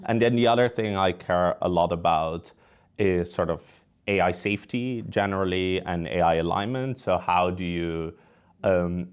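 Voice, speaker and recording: Deep-voiced Dutch man explaining things. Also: clean tone. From Netherlands. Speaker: interview guest, researcher and professor. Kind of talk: conversational interview answers, explanatory.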